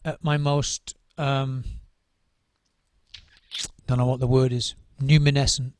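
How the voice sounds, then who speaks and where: A man's voice: medium pitch, little pitch movement, takes it slowly, a little mellow, slight background noise. A middle-aged man, a drum circle trainer, giving thoughtful interview answers, often restarting and repeating words as he searches for what to say.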